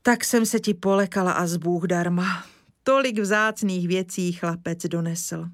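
A woman's voice: fairly low pitch, steady tone, dry recording, natural voice. Flustered storybook mother, read by a woman, anxious exclamations, dry sound. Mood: relieved. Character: worried village mother, flustered and fussy.

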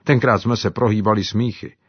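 Chatty helper man, read by a man, lively and a bit raised, husky, dull sound. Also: on the low side, dry recording, pitched above the performer's own voice.

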